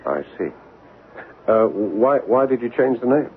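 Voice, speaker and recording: An actor playing an earnest, curious American writer who also narrates, in old-time radio drama style. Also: male voice, medium pitch, big pitch swings, a little slow. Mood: curious, tentative. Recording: tinny, noisy old radio broadcast.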